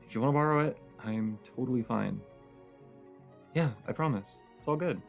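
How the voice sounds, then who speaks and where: A sleepy, grumbly young roommate voiced by a woman in a cozy audio roleplay, over a bed of music. The voice is low for a woman and full of creak. The delivery is soft, casual and halting, playfully teasing with a shy fondness. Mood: playful, warm.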